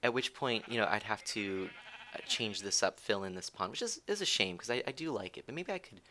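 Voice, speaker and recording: A man's voice: on the low side, lively intonation, a little fast, sharp tone, slight background noise. A man streaming a game live, chatting with viewers, giggling and teasing as he thinks aloud. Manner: rambling with frequent restarts.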